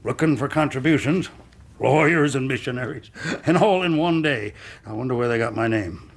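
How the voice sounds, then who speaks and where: An actor playing a domineering, hard-living older colonel in a radio drama, husky and brusque, barking commands. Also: male voice, mid-range voice, moderate pace, close to an everyday voice. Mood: gruff, jovial. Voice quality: husky, rough.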